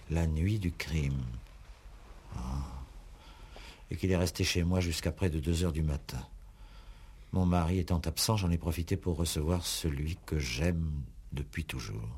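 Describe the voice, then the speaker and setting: A very deep, husky-voiced magistrate in an old French radio police drama. He is troubled and puzzled, thinking aloud in hesitant questions. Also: a little mellow. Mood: reading gravely. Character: troubled, puzzled investigating judge. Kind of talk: 1960s French radio play acting.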